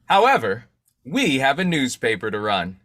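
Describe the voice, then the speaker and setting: A man playing an urbane newspaper publisher in an old-time radio drama, measured, polite and formal with a sly streak. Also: mid-range voice, wildly swooping pitch, noisy background. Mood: businesslike, sly.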